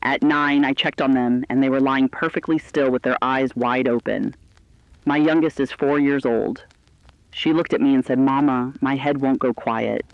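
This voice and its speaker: Guy acting as a compassionate male radio co-anchor, earnest and reassuring, mid pitch, dry but kinda dull audio. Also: steady tone, moderate pace, no echo, lightly acted. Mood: consoling, earnest. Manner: earnest, consoling. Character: reassuring, compassionate co-anchor comforting frightened audience. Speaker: news co-anchor. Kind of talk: scripted broadcast address to listeners.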